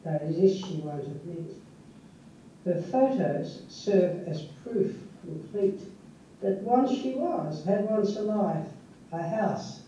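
An older woman, a native Dutch speaker from the Netherlands, reading her own poem in a measured, unhurried way. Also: fairly low pitch, dark tone, a little hiss or hum. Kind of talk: poetry reading. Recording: echoey, distant, dull.

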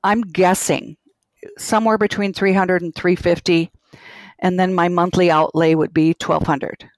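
A woman's voice: fairly low pitch, fairly even intonation, measured pace, no room sound. A woman in her sixties with a Minnesota accent calling in to an advice show, plainspoken and a little anxious. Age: 60s. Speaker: caller. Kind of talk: phone-in conversation.

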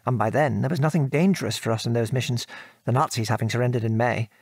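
A man's voice: mid-range voice, darkish tone, husky, no echo, clean studio sound. An actor with a British accent playing a former RAF airman and father, frankly recounting his war service in an articulate, old-fashioned way for an audio drama. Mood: wry reminiscing. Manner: articulate, measured storytelling with old-fashioned British turns of phrase. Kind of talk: conversational audio drama reminiscence.

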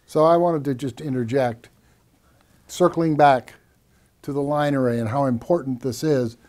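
An older man co-presenting a technical explanation in a measured, didactic way, repeating key phrases. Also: medium pitch, darkish tone. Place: small acoustically treated home theater room.

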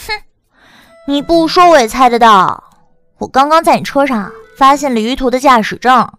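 A woman's voice: sits high, normal speed, fairly bright tone, faint music behind. A woman playing a bubbly, teasing, gossipy young best friend in an audiobook drama, chatty and full of exclamations. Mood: teasing, huffy.

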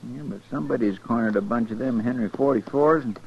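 Creaky, folksy frontier sidekick. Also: male voice, medium pitch, quick pace. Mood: curious, folksy. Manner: folksy rustic drawl, ungrammatical. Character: folksy, nervous frontier deputy sidekick, good-natured. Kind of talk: 1950s radio western acting. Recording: muffled, noisy old radio recording.

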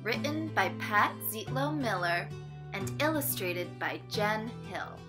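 A woman reading a children's picture book aloud in an expressive, deliberate way, phrasing it for young listeners. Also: mid-range voice, loud music behind the voice. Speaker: storybook reader.

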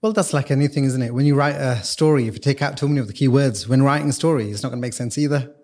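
A man's voice: mid-range voice, rapid-fire, darkish tone. Man, guest expert, casual explaining, frequent restarts, everyday voice. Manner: explanatory, many restarts and repeated words. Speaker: head of video strategy, podcast guest.

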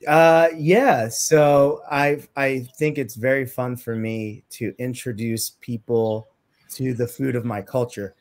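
A man's voice: mid-range voice, fairly even intonation, slow. A young man from Trinidad and Tobago, a podcast guest chatting in a friendly, upbeat way with many "like" fillers over a video call. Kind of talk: casual interview chat. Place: home room with plain walls.

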